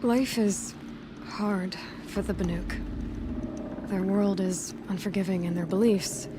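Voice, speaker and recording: A woman's voice: mid-range voice, steady tone, slow, background noise, lightly acted. A woman playing a plainspoken, compassionate young huntress in a video game, talking in a casual, understated, dry way. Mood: gentle, reassuring. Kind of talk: scripted video game dialogue.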